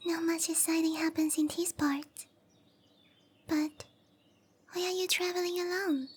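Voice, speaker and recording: Casting: petite fantasy cowgirl for roleplay ASMR. Extremely high voice, unhurried, bashful, soft-spoken, longing for adventure. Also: fairly even intonation. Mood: curious, excited. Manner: hesitant, apologetic, soft-spoken with breathy delivery. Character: tiny, shy young cowgirl monster girl yearning for adventure. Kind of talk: roleplay ASMR, anime-style character acting.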